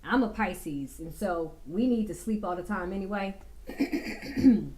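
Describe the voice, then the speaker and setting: A woman vlogger talking to her viewers about getting a full life, slow and reflective with many fillers, a little raspy from a cold. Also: mid-range voice, expressive, dark tone. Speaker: vlogger giving life advice. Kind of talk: casual talking-to-camera advice.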